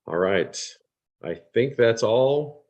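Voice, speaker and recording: A man's voice: mid-range voice, animated, slow pace, darkish tone, muffled recording. A man hosting an informal online award event, reading out questions and giving thanks.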